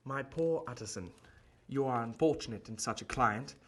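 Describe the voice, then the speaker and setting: Victorian gentleman doctor, played by a man, medium pitch, courteous and guarded, earnest formal delivery for audio drama. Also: moderate pace, husky. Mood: genial, scornful.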